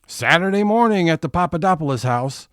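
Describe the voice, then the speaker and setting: A man narrating a scripted audio comedy as a deliberate, dramatic episode announcer. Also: fairly high pitch, lively intonation, fairly bright tone, dry recording, studio quality. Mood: wry announcing. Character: wry storytelling announcer of a domestic comedy.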